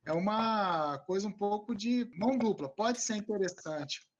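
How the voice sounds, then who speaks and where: A high-voiced man speaks as an invited expert on a panel. He thinks aloud, repeating words as he explains, over a slightly noisy line with clicks. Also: measured pace, dull audio. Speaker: invited agronomy expert answering questions. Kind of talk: online academic panel, informal answers.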